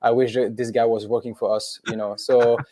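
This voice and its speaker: Man from switzerland, co-founder, flat monotone, dry close sound, rambling. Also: medium pitch, brisk, dry recording. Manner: rambling, anecdotal. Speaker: business co-founder. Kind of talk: webinar discussion.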